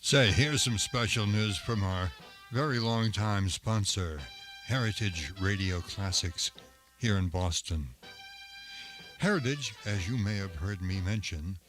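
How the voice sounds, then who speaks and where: A man presenting an old-time radio programme in a measured, scripted announcing style. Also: on the low side, a bit bright, faint music behind.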